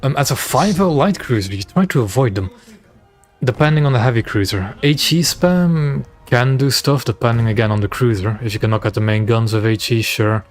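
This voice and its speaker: A Dutch-speaking man from West Flanders with a Flemish lilt on his English, talking through a game stream. His delivery is loose and rambling, full of restarts as he juggles gameplay calls and viewer questions. His voice sits at an ordinary middle pitch. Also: brisk. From West Flanders, Belgium. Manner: relaxed, rambling, answers chat between game calls. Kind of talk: casual gameplay stream commentary.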